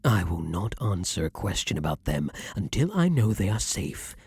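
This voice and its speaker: A man playing an imperious, lovesick vampire king in an intimate ASMR fantasy roleplay, speaking formally and theatrically with breathy, dramatic pauses. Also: sits low, sing-song, a little mellow, dry recording, clean studio sound, exaggerated voice. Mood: cold menacing fury. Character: ardent, imperious male vampire king, lovesick then menacing.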